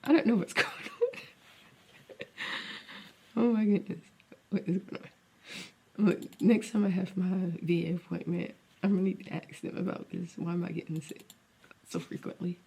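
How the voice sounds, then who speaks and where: Young woman, vlogger, congested, husky, gravelly, mellow, rambling. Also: lowish voice, moderate pace, long pauses. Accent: Southern US. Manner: rambling, thinking aloud, drops to near-whisper. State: congested with a cold. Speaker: special education teacher and vlogger. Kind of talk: casual vlog talk to camera.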